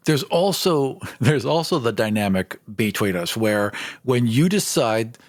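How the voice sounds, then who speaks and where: A man's voice: medium pitch, lively intonation, a little slow. A man talking as the co-host of a podcast. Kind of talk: casual podcast chat.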